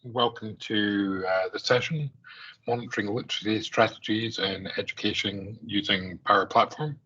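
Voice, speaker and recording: A man's voice: fairly low pitch, steady tone, moderate pace, sharp tone, slightly rough, muffled recording. A middle-aged man from Scotland with an Aberdeen accent, giving a conference presentation in a conversational way, with many fillers and restarts. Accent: Scottish, Aberdeen. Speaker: conference speaker.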